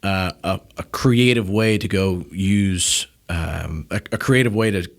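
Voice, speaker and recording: A man's voice: sits low, measured pace, vocal fry. A man speaking as a podcast guest in a casual, conversational and blunt way, telling stories and explaining. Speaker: podcast guest and media company founder. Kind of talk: casual conversational interview storytelling.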